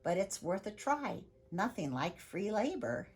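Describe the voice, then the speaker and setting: A woman's voice: medium pitch, sing-song, a little slow, darkish tone, pitched above the performer's own voice. A woman voicing a greedy, condescending rich man in a storybook, pompous and incredulous. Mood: scornful, scheming.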